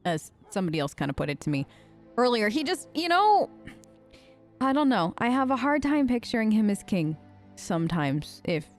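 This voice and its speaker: A woman's voice: on the high side, very animated, moderate pace, soft background music. A woman hosting a gaming video, casually role-playing her character's side of the dialogue in a chatty, conversational way.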